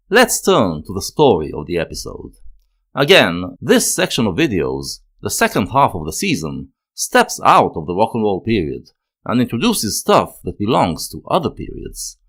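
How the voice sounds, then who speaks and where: A man delivering scripted analytical narration as a video essayist, in a measured, explanatory manner. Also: fairly low pitch, wildly swooping pitch, a bit bright.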